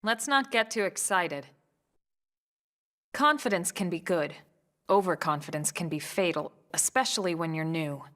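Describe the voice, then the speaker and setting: A woman playing a serious, cautious veteran squad leader in a video game, with an American accent and a measured, authoritative delivery. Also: on the low side, lively intonation, no room sound, clean studio sound. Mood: calm, cautioning. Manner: measured, matter-of-fact, authoritative. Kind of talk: video game cutscene acting. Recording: loud game music behind the voice.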